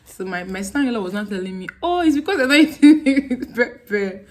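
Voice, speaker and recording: A woman's voice: a little high, animated, a little fast. A young woman with a Nigerian accent, chatting casually to camera on her vlog. She acts out her friends' questions with laughter. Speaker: vlogger.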